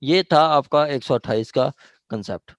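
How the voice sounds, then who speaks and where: A man's voice: on the high side, normal speed, clean tone, no echo. A man with a Pakistani accent lecturing on law, reading out statutes and explaining them while switching between two languages. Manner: explanatory, code-switching between Urdu and English, with frequent check phrases.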